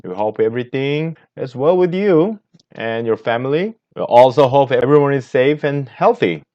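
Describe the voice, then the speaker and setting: A man from Korea, a native Korean speaker with a Korean accent, giving an earnest leadership lecture as a martial arts master over slides, unhurried, pausing often. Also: medium pitch, a little mellow, lo-fi sound. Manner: earnest, unhurried, with frequent pauses and fillers.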